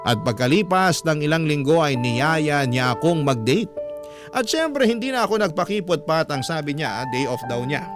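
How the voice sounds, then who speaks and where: A man narrating a first-person radio story in a dramatic, conversational, expressive way. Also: a little high. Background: music.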